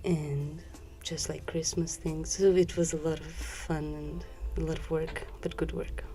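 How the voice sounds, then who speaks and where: A woman's voice: deep voice, little pitch movement, a little slow, music in the background. A woman in her twenties, born in Brazil and raised in Portugal, chatting casually as a guest and laughing often. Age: 20s. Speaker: guest, former volunteer. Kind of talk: casual interview chat.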